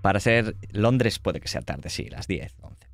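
A man's voice: low voice, very animated, unhurried, vocal fry, no room sound. A man with a Spanish accent from Spain, acting as game master and narrating a horror role-playing game in short, suspenseful phrases.

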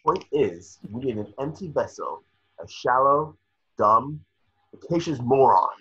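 A man's voice: mid-range voice, animated, a little slow, pushed and bright, husky, exaggerated voice. A man playing a curt, disdainful conspirator in an amateur comedy read. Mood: cold scheming. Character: scheming, contemptuous fashion-industry conspirator. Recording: muffled video-call audio.

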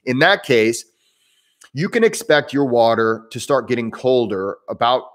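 A man in his thirties from southern Indiana, with a southern Indiana Midwestern accent, hosting a casual live Q&A stream from a room at home on a desk microphone and talking in a chatty, animated way. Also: medium pitch, unhurried. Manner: chatty and animated, frequent self-restarts and laughs, gestures with hands. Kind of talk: casual live-stream talk answering viewer questions. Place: home room, indoors, medium size. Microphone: desk microphone in front, webcam framing.

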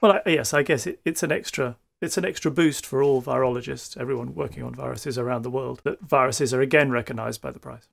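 A man's voice: medium pitch, quick pace, creaky, few pauses, a bit bright. A man, conducting an interview in a polite, conversational way.

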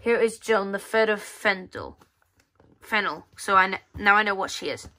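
A woman casually reads through a children's magazine, halting as she reads and adding offhand muttered asides. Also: mid-range voice, bright, forceful tone. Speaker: magazine reviewer. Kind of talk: casual read-aloud walkthrough of a children's magazine. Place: indoors at a tabletop.

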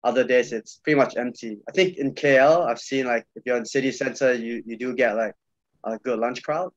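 A young man talks casually over a webcam call, loose and easygoing with plenty of filler words. His voice sits at an average pitch. The audio is muffled and bone-dry, with no room sound at all. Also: a little fast, dry recording. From Malaysia. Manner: relaxed, loose, many fillers and repeats. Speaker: podcast guest, jiu-jitsu coach. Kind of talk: casual video-call chat. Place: small room indoors. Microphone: video call webcam.

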